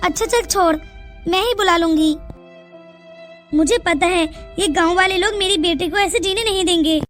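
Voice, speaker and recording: Casting: woman as caring cartoon village mother, high voice, fretful and coaxing, music underneath. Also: normal speed, fairly bright tone. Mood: reassuring, coaxing. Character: anxious, caring village mother comforting her grown daughter. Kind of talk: Hindi cartoon moral story dialogue. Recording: music behind the voice.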